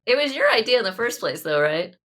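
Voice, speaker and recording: A woman's voice: a little high, very animated, fast talker, a bit bright. A woman hosting a podcast interview, encouraging her guest with frequent murmurs of agreement. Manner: encouraging, lots of backchannel murmurs. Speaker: podcast host. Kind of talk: casual interview.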